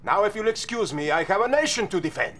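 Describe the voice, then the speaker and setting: A snide old-school soviet general in a game, highish voice, bounces around a lot. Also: male voice, very animated, quick pace, a bit bright, a little hiss or hum. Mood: sardonic. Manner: sarcastic, condescending. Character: sardonic veteran male Soviet general, scornful.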